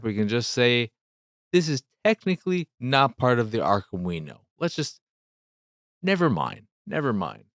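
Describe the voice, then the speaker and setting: Slow, low-voiced male podcast host. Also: smooth, no echo, clean studio sound. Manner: halting delivery in short phrases with pauses. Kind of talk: solo game review podcast talk.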